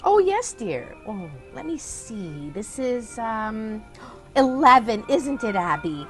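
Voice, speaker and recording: A woman's voice: fairly high pitch, very animated, slow pace, faint music behind. Lilting, kindly old aunt, played by a woman. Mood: mildly puzzled. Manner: genteel, doting. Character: dotty, kindly old aunt, placidly matter-of-fact. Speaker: actor. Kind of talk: theatrical radio comedy acting.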